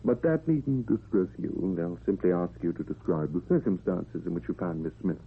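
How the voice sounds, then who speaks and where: An actor playing a calm, reassuring doctor in a radio drama, speaking in a polite, measured, clinical way. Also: male voice, deep voice, vocal fry, a little hiss or hum. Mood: businesslike, reassuring. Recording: tinny narrow-band old radio recording.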